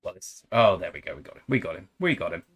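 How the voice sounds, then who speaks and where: A man's voice: deep voice, big pitch swings, fairly quick, breathy edge. Joking young Englishman streaming a game. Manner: jokey, rambling, tries silly accents. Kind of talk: casual live-stream chat over gameplay.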